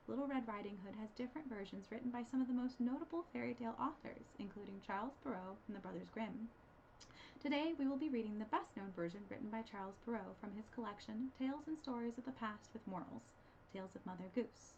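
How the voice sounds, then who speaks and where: A woman reading scripted storybook narration as a librarian storyteller, with an expressive delivery. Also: a little high, gravelly. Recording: dull, slightly noisy recording.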